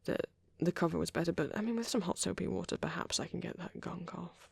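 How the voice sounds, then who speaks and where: A woman playing a shy, nervous vampire boy in a soft-spoken ASMR roleplay, with a hesitant, rambling, stammering delivery and a husky voice. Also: on the low side, quick pace, dry recording, heavily acted. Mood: rambling, absorbed. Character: shy, nervous young male vampire on a date.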